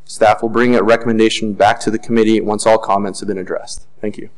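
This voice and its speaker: A man on city planning staff reading a formal report in a measured way, with occasional fillers, at a desk microphone in a council chamber. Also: fairly low pitch, little pitch movement, a little mellow, low-quality recording.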